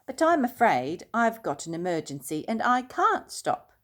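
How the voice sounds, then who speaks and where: A woman voicing a polite, excitable young male bear in a storybook reading, earnest and full of exclamation. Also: fairly high pitch, moderate pace, voice pushed up. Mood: apologetic.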